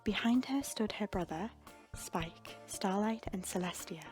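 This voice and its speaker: A woman's voice: medium pitch, a little slow, darkish tone. A woman reading fan fiction aloud as a scripted, dramatic story narrator. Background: background music.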